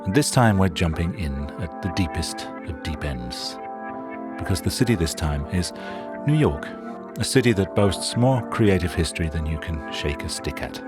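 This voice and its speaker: Deep-voiced man narrating over loud music. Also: lively intonation. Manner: measured, wry storytelling. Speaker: show host and narrator. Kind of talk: scripted documentary narration.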